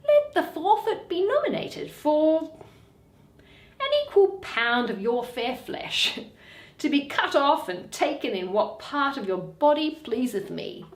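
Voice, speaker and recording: A bitter, wronged older moneylender from a Shakespeare play, acted out by a woman, mocking and sardonic. The pitch is high and swings widely, and the words are drawn out slowly in an echoing room. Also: very animated, heavily acted. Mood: slyly friendly. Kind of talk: dramatic Shakespeare reading. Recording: roomy, echoing.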